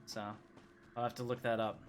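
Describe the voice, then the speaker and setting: A man with a mid-pitched voice gives informal video game commentary. He talks through his play as he goes, thinking aloud in a casual streamer style. Game music is clearly audible behind his voice. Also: completely flat delivery, normal speed. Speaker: gaming streamer.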